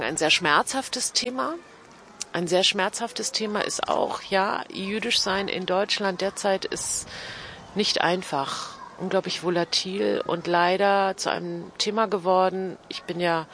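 A woman's voice: sits low, a bit bright, a little hiss or hum. A middle-aged woman from Berlin giving earnest, reflective interview answers, repeating words for emphasis. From Berlin, Germany. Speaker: interview guest.

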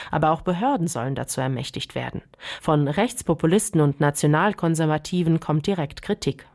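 A woman correspondent delivering a formal, measured radio news report. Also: sits low, darkish tone.

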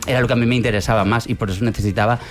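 Director from Navarre, slightly low voice, rapid and explanatory. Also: male voice, fairly even intonation. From Navarre, Spain. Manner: fluent, explanatory, many fillers. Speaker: film director. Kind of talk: radio interview.

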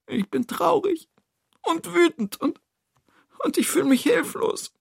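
A man's voice: very high voice, lively intonation, fairly quick, no echo, clean studio sound, pitched above the performer's own voice. A man voicing a sensitive, hurt young child in a children's story, with a plaintive, whiny manner. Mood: tearful, hurt.

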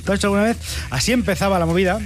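A man's voice: high voice, racing, music in the background. A man with a Spain Spanish accent hosting a satirical news monologue, full of sarcastic, joking asides. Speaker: host.

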